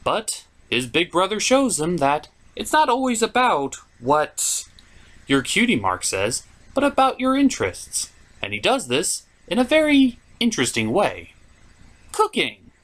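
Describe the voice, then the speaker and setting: A man with a somewhat high voice presents a comedic cartoon review. His intonation swings widely, and he leans into mock-dramatic emphasis. The commentary is scripted, with conversational asides. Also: huge pitch swings, unhurried, a bit bright. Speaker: host of a cartoon review show.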